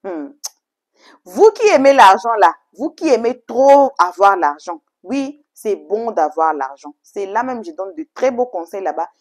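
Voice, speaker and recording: A woman's voice: mid-range voice, sing-song, a little slow. Woman, native English speaker, channel host, mid-range voice, casual chatty intro, emphatic, repetitive, direct to viewers.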